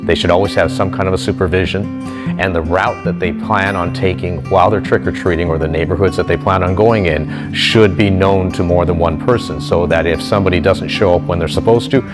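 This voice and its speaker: Low voice guy doing safety tips, guitar music really loud under him. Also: fairly even intonation, fairly quick. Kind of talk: public safety advice. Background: plucked guitar music.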